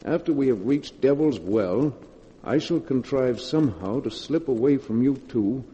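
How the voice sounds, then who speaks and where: A man's voice: medium pitch, expressive, moderate pace, warm tone, close to an everyday voice. Actor as tense man in echoing vintage radio horror. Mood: grave reading. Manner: urgent, theatrical delivery. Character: tense guilty man trapped in a cave with his lover. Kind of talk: 1940s radio horror drama acting. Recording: muffled vintage radio, long hall reverb.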